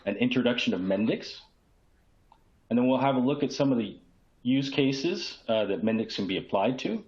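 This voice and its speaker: A man presents a business webinar as its host. His voice sits at a medium pitch. The recording is roomy with clear echo and sounds slightly dull. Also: darkish tone. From San Juan, Puerto Rico. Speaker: host, key account manager.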